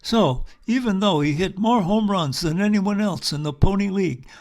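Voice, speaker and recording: A man narrating local history as a storyteller, in measured phrases. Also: high-pitched, a little mellow, slight background noise. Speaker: tour narrator.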